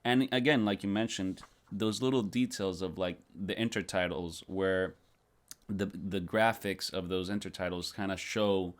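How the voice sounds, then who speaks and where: A man guesting on a podcast, talking over a film in a thoughtful, halting way with repeated words. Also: deep voice, steady tone. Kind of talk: casual film discussion.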